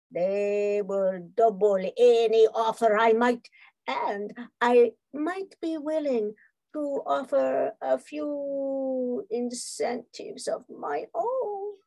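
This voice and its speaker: A woman's voice: a little high, dark tone, no room sound. A woman playing a boastful, seductive Martian spy villain with theatrical, sultry delivery in a radio spoof. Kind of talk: old-time radio spoof acting.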